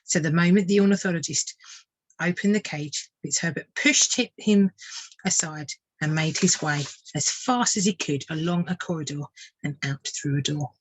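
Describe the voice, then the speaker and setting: A woman narrating a children's storybook like a tutor reading aloud, measured and expressive. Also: sits low, breathy edge, no room sound, low-quality recording.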